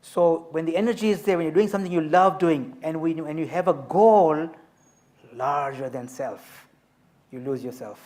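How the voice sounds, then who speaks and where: A man in his fifties, from Tanzania, telling his story as an author and speaker on a festival panel, measured and emphatic. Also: a little high, darkish tone. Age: 50s.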